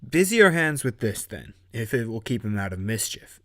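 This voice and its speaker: A man's voice: medium pitch, sing-song, normal speed, a bit bright. A man voicing a polite, loyal member of a ship's crew in a formal audio drama manner. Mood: brisk.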